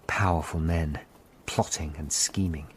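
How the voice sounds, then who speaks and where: An actor playing a troubled Tudor lawyer, narrating a murder hunt gravely in a radio drama. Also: male voice, extremely low pitch, steady tone, unhurried, background noise. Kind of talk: scripted first-person narration in a radio drama.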